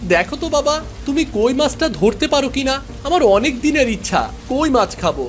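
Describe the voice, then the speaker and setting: A man's voice: very high-pitched, very animated, moderate pace. A man voicing an eager young village boy who pesters his father in a children's cartoon. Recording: music behind the voice.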